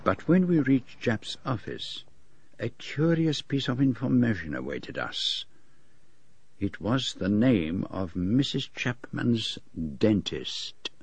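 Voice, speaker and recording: An actor playing a fastidious Belgian detective with a French-speaking accent, precise and formal in a radio drama. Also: male voice, medium pitch, very animated, creaky, husky, full character voice. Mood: measured narrating.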